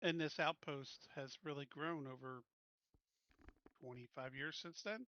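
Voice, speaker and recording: A man's voice: fairly high pitch, a little slow, pushed and bright, dry recording, dull audio. A man playing a tabletop roleplaying game, casually putting questions to the game master.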